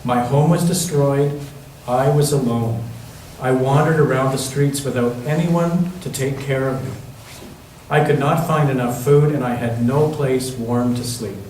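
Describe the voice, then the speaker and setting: A man reading aloud the part of a gentle grandmother who recalls a frightening wartime childhood in a storybook. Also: medium pitch, measured pace, close to an everyday voice. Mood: solemn, remembering. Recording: very echoey church room, distant mic, faint noise.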